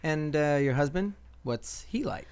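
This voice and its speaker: An actor playing a courteous, gently charming doctor in a radio play, polite and reassuring. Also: male voice, on the high side, expressive, measured pace, clean tone. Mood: shy, curious. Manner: polite, reassuring, mildly hesitant.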